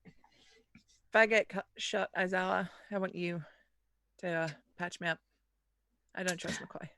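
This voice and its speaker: A woman speaking low-key and in character as a tabletop game player. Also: fairly even intonation, a little slow, fairly bright tone, a little hiss or hum.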